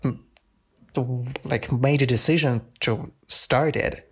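A man's voice: medium pitch, fairly even intonation, slow pace, breathy edge. A man in his early twenties co-hosting a casual podcast with a friend, often laughing and complimenting her. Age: 20s. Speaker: podcast co-host. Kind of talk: casual chat.

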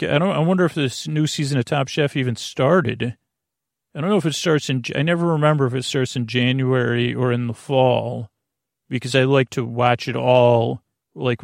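Creaky, rambling man in a dry booth. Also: medium pitch, no echo. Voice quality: creaky, meandering and halting. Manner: drowsy, self-deprecating asides. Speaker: sleep podcast host. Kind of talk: rambling bedtime monologue.

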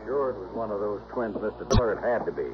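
A man's voice: fairly low pitch, quick pace, over music, lightly acted. A man playing an exasperated, folksy small-town police sergeant in a 1960s radio drama, blustery and colloquial. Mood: insistent. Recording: dull old radio recording, faint noise.

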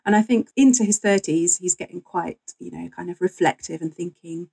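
A woman's voice: on the low side, moderate pace, creaky, warm tone, breathy edge, rough recording. A woman speaking as a guest author and historian, explaining things in a casual, informal, slightly wry way.

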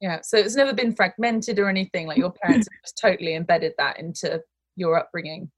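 A woman's voice: fairly low pitch, brisk, no room sound. Host voice: woman, chatty and rambling, lots of fillers, playful asides. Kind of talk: casual chat.

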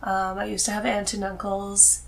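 A woman's voice: medium pitch, completely flat delivery, normal speed, gravelly. A woman guest on a podcast, chatting casually in loose, informal phrasing. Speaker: podcast guest, host's wife. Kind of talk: casual conversation about reading.

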